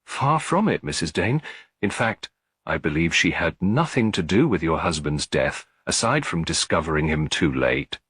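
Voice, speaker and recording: Guy doing an elderly lady detective in an audiobook, calm and shrewd, low husky voice, lilting intonation. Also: dry recording, studio quality, exaggerated voice. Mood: composed, firm. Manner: measured, explanatory, gentle. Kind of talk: audiobook character dialogue.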